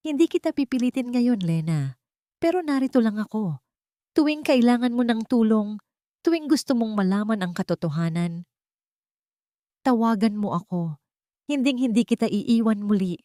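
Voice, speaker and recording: An earnest, tender gentleman lawyer of audio drama, acted out by a woman. He speaks deliberately and with devotion, pitched up from the narration in a close, dry recording. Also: lively intonation, no room sound, natural voice. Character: gentle, devoted male lawyer making a solemn promise. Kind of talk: Tagalog audio drama acting.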